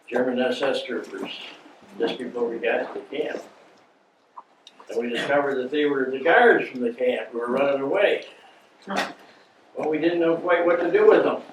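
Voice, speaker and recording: An American man in his nineties, a war veteran, reminiscing informally to an audience in a halting, plain-spoken way. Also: medium pitch. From United States. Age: 90s. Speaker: war veteran, guest speaker. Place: indoor meeting room before an audience. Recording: echoey room, distant mic, faint noise.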